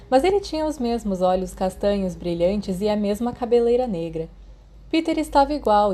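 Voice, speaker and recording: A woman with a Brazilian Portuguese accent narrates a work of fiction. Her voice is dark and mellow, set at a middle pitch for a woman. She reads from a script in the manner of an audiobook. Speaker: podfic narrator.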